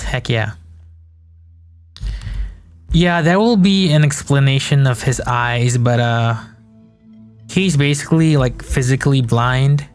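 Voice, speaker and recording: A young man from Malaysia, whose first language is Malay, chatting loosely on a game-dev live stream and thinking aloud with restarts. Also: a little high. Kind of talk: casual live-stream chat while working.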